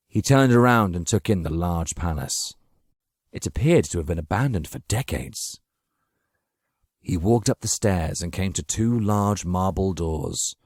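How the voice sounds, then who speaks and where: A man's voice: low-pitched, a little mellow, slightly rough, dry recording. A man narrating a dramatic story from a script as an audiobook reader.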